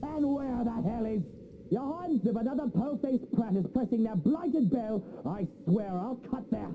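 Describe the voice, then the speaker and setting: A woman's voice: on the high side, lively intonation, normal speed, narrow, tinny audio, noisy background, voice pushed up. A woman voicing an irate, snobbish rich man ranting and cursing from behind a door in a visual novel. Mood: furious.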